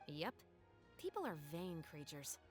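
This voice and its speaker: A woman's voice: on the low side, very animated, faint music behind. A woman voicing a composed, dry-humored female patrol captain in a video game. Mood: explaining. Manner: dry, wry, measured. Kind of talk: game voice acting.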